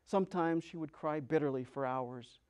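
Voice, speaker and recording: Male storyteller, slightly high voice, theatrical and deliberate, for dramatic narration of a children's tale. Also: darkish tone.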